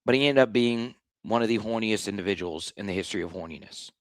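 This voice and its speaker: A man with a slightly hoarse voice hosting a live sports talk stream, blunt and animated, often restarting his sentences. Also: on the low side, fast, dry recording. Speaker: sports show host.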